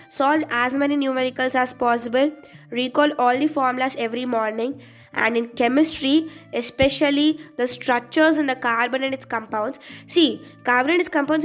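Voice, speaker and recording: A teenage girl with an Indian English accent talks through her study tips in a high, light voice. She sounds earnest and chatty, stretching out each list item as she goes. Faint music plays under her voice. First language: Telugu. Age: teens. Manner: earnest, chatty advice-giving, drawn-out list items. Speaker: student vlogger.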